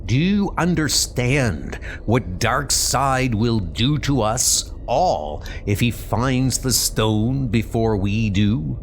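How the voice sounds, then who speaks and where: Man as a grave, slow cosmic god. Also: mid-range voice, expressive, slight background noise. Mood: grave urgency. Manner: portentous, declamatory. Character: grave, urgent male cosmic god delivering a warning. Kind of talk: comic book dub.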